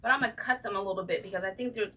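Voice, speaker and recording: A woman with a slightly raspy voice hosting a casual crafting livestream, chatting with viewers and saying um often. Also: medium pitch, racing, bright, forceful tone, recorded from a distance, slight background noise. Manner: chatty, greets viewers by name, frequent ums. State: slightly raspy from breathing trouble. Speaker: craft channel host.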